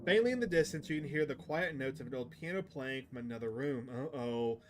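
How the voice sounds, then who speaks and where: A man's voice: mid-range voice, animated, a little fast. Male let's-player, mid-range voice, chatty, reads game text to viewers, slight noise on the recording. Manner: chatty, addresses stream chat. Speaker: video game streamer. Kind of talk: live let's-play commentary mixed with reading game text aloud.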